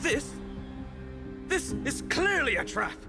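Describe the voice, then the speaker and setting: A man's voice: very high voice, sing-song, slow pace, gravelly, music in the background, heavily acted. An actor playing a bitter, agitated male council delegate in a video game, speaking with scorn. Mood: alarmed. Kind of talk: dramatic game voice acting.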